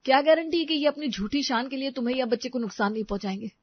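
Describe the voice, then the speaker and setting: A woman's voice: sits high, very fast, no room sound. An earnest young man, voiced by a woman, argues with impassioned, righteous insistence in a family melodrama. The recording is dry and a little muffled. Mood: urgent pleading. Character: earnest, indignant young man defending a wronged widow. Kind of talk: Hindi audio story melodrama.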